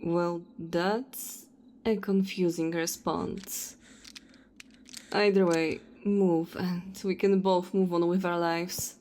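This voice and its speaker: Woman acting slow, mellow, contemptuous vampire hunter. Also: lowish voice, little pitch movement, a little hiss or hum. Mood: scornful, impatient. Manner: taunting, contemptuous, unhurried. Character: cold, self-righteous female vampire hunter. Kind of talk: audio roleplay acting.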